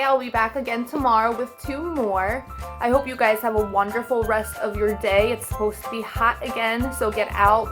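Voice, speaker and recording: A young woman hosting a children's storytime, reading picture books aloud in a gentle sing-song way with soft, drawn-out refrains. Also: a little high.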